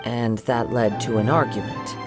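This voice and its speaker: An actor playing a courteous, composed young gentleman investigator in an anime video game, speaking formally and politely. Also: medium pitch, fairly even intonation, normal speed, loud music behind the voice, natural voice. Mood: calm, inquiring.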